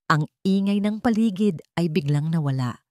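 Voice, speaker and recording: A woman's voice: on the low side, lively intonation, normal speed, no echo, studio quality. A woman reading scripted narration for a dramatic story, as its storyteller.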